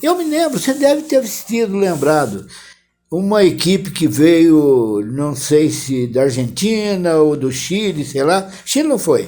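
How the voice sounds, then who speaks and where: An older man with a Brazilian accent hosting a casual talk show, animated, repeating words and trailing off as he remembers. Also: a little high, measured pace. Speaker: host. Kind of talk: casual reminiscing chat. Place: TV studio.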